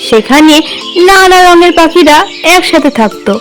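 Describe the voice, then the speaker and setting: A woman storyteller reading a scripted narration for a children's animated tale. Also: very high voice, moderate pace. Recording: music behind the voice.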